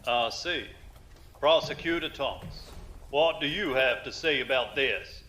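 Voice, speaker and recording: An actor playing a stern, solemn presiding judge of a military tribunal in a science fiction audio drama. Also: male voice, medium pitch, lively intonation, sharp tone. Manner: solemn, formal, deliberate.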